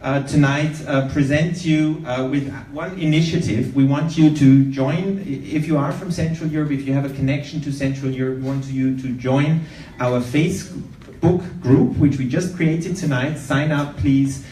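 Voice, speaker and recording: A man from Austria hosting a live event, cheerfully rallying the audience with shouted questions. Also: fairly high pitch, fairly even intonation, moderate pace. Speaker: event organizer and host. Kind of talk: upbeat crowd-work introduction. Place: large event venue indoors. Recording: echoey hall, distant mic, faint room noise.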